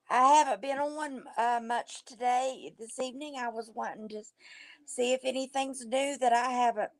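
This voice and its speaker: Hoarse, slow-talking woman. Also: on the high side, no room sound. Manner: slow, halting, with fillers and restarts. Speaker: livestream guest. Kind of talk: casual chat.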